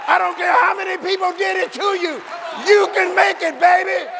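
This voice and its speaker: A man's voice: very high-pitched, steady tone, moderate pace, raised voice, a crowd in the background, noisy background. A man preaching a fervent sermon, repeating phrases and calling the congregation to answer. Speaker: pastor. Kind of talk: shouted sermon. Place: church sanctuary. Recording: echoey hall with congregation.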